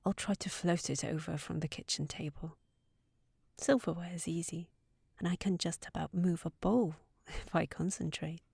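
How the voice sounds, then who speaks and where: A woman's voice: on the low side, fairly even intonation, normal speed, gravelly, a little mellow, husky, dry recording, clean studio sound. A woman playing a sensible young housekeeper secretly in love with a wizard, in an intimate, earnest audio roleplay. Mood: tender, happy.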